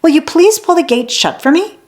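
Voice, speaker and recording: A woman's voice: high voice, expressive, moderate pace, pitched above the performer's own voice. A woman voicing a stern, haughty female keeper of books in a children's story, with a terse manner. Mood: flustered. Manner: cold, terse, clipped.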